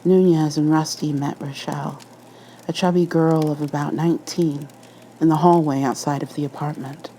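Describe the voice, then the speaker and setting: A woman's voice: low voice, steady tone, moderate pace, warm tone, slight background noise, poor audio. A woman reading a scripted horror story as an ASMR narrator.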